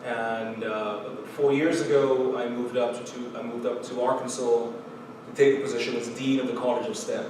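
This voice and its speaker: A middle-aged man with a Trinidadian accent, giving a recorded chemistry lecture in a measured, earnest way, often pausing with "uh" and "um" and repeating words. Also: mid-range voice, steady tone. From Trinidad and Tobago. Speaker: chemistry professor. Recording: very echoey room from far off, background noise.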